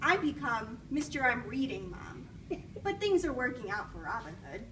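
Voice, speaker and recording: A woman with a high voice reads a story to children, teacher-style. She is expressive and encouraging. The mic is somewhat distant and picks up faint background noise. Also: moderate pace. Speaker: student teacher reading aloud to children. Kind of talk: children's storybook read-aloud with questions.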